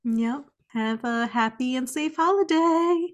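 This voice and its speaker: A woman co-hosting a podcast in casual, animated banter with a teasing manner. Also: high voice, takes it slowly. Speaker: podcast co-host.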